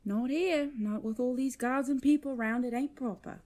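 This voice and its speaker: A woman's voice: high voice, normal speed, a little mellow, close to an everyday voice. A woman playing a kindly, proper servant to royalty in a fantasy audio drama, soothing and folksy in manner. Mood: fussing.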